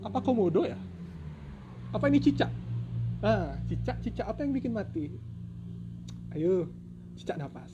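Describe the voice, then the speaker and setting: Male storyteller, high voice, playful folk-tale narration with joking asides, light noise behind. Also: very animated, normal speed, long pauses, lo-fi sound. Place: at home.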